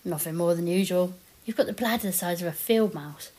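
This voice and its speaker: A woman acts a sarcastic female survivor in a zombie comedy for audio. Her voice is mellow and a little low, with a disgusted, snarky edge. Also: brisk, natural voice. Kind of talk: indie audio comedy drama acting.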